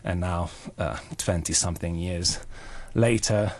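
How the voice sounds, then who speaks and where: Earnest Hungarian man, lowish voice. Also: measured pace. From Budapest, Hungary. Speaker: interview guest, actor and playwright. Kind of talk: personal storytelling in a radio interview.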